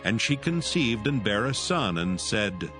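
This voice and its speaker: A man gives a solemn scripted narration of scripture. His voice is somewhat low with lively intonation, set over clearly audible music. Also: a little slow.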